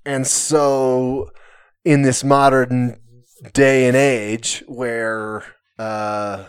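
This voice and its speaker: A man's voice: medium pitch, little pitch movement, extremely slow, clear, resonant tone. A man talking casually on a podcast, hesitant, full of fillers and restarts, with self-mocking humor. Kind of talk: casual conversation.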